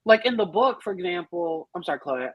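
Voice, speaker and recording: Emphatic woman chatting, slightly husky voice. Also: a little high, huge pitch swings, a little fast, no echo, muffled recording. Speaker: podcast panelist. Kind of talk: casual group chat, film and musical review.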